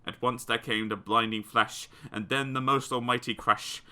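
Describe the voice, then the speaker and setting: A man reading children's verse aloud as an audiobook narrator, theatrical and expressive. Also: mid-range voice, moderate pace, smooth. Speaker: audiobook reader.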